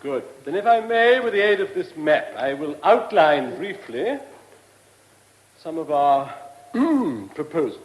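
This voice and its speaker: Male ministry bureaucrat, high-pitched, animated intonation, officious, halting, patronising, radio drama, echoey room. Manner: halting, pompous, condescending. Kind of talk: radio play acting.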